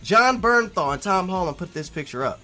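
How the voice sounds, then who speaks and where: A man hosting a casual, opinionated video reply, speaking emphatically and repeating phrases for stress, moving between loud insistence and quieter asides. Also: high voice, expressive, brisk, faint music behind. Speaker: video host replying to a viewer comment. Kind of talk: casual opinionated commentary to camera.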